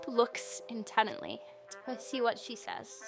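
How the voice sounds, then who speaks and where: A woman's voice: on the high side, wildly swooping pitch, takes it slowly, creaky, faint music behind, low-quality recording. A woman reviewing a website in a casual screen-recorded walkthrough, chatty and thinking aloud as she clicks through menus.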